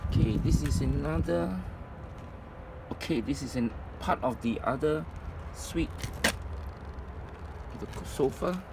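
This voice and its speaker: A man giving an informal, halting item-by-item walkthrough as a presenter at a storage unit. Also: lively intonation, creaky. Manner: halting, piecemeal delivery. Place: storage facility, at open unit. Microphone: handheld phone camera, speaker behind it. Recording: noisy handheld recording, traffic-like rumble.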